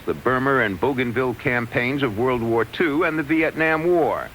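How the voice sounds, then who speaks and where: A man's voice: mid-range voice, fairly bright tone, a little hiss or hum, low-quality recording. An American man delivering a scripted radio report as a news correspondent.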